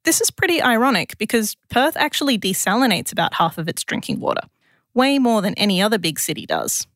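A woman's voice: fairly high pitch, sing-song, brisk, a bit bright, no echo. Australian woman narrating science, dry close sound. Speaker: science journalist and presenter. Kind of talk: scripted science explainer narration.

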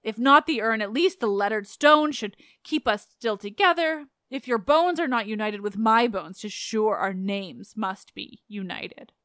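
A woman's voice: on the high side, fairly even intonation, a little slow, hardly any pauses, smooth, dry recording, clean studio sound, lightly acted. A woman voicing a grieving young wife who speaks in a raised, lamenting voice. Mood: grief-stricken.

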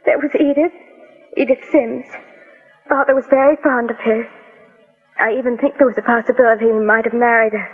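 An actress playing an anxious, bereaved young American daughter in a 1940s radio drama, polite and earnestly pleading. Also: female voice, on the high side, fairly even intonation, brisk, long pauses, slightly rough. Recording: tinny, reverberant old radio recording with music.

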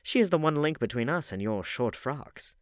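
Man as an urbane, cynical gentleman wit. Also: fairly high pitch, expressive, normal speed, no room sound, pitched above the performer's own voice, lightly acted. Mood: wry. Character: witty, languid male aristocrat, cynical dinner guest.

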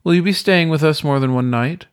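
A man voicing a suave weasel ghost who runs a hotel, speaking in a smooth, courteous, formal manner for a storybook reading. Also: fairly high pitch, fairly quick, voice pushed up, natural voice. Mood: courteous, welcoming.

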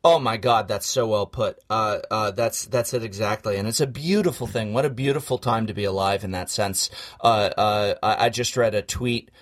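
Lowish voiced guy on a podcast, earnest and emphatic, kinda speechifying. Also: moderate pace, hardly any pauses. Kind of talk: casual podcast chat.

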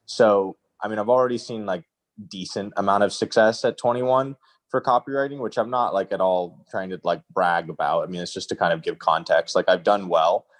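A man's voice: sits low, steady tone, fairly quick. A man in his early twenties chatting casually, reflective and roundabout as he questions himself. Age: early 20s. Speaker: freelance copywriter asking for advice. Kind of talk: casual chat.

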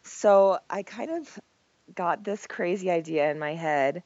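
A woman in her thirties, hoarse from a cold, chatting casually as a podcast guest in a self-deprecating, laughing way. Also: lowish voice, measured pace, a little mellow, no echo, highs cut off. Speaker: podcast guest, marriage blogger. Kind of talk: casual interview chat.

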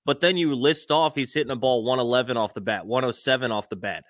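A man's voice: mid-range voice, quick pace, fairly bright tone, dry recording, studio quality. A man co-hosting a sports podcast, giving conversational baseball analysis.

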